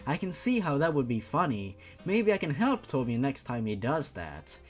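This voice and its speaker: A man's voice: mid-range voice, big pitch swings, moderate pace, faint music behind, lightly acted. A man voicing an affectionate young daughter from a romance story, with earnest, exaggerated sweetness. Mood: fond.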